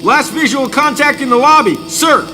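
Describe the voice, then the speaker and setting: Very high-pitched nervous henchman. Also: normal speed, noisy background. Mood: nervous, deferential. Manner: clipped formal reporting. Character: nervous, deferential male military subordinate reporting to boss. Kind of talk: video game cutscene voice acting.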